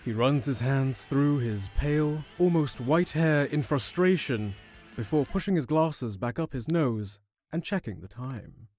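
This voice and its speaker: A man's voice: medium pitch, expressive, measured pace, a little mellow. Male narrator over prominent string music. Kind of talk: scripted audio drama narration.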